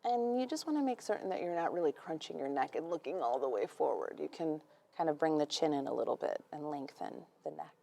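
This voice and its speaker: Young woman doing yoga instructions, deep-ish for a woman, lots of vocal fry, gentle n unhurried, chatty cues. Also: animated. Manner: gentle, unhurried, conversational cueing. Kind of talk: guided yoga class instruction.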